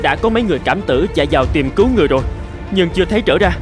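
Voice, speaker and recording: A man's voice: fairly high pitch, lively intonation, rapid-fire, over music, close to an everyday voice. A man voicing an anxious, earnest rescue worker in a cartoon drama. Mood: anxious, urgent.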